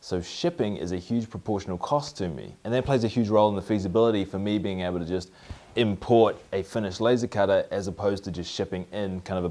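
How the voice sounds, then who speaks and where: A man in his thirties with a New Zealand accent talks to camera from his garage workshop. His voice sits a little low, and he explains with upbeat energy and lively hand gestures. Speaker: maker video host. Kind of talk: explanatory maker vlog. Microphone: camera at mid-distance, framed from the waist up.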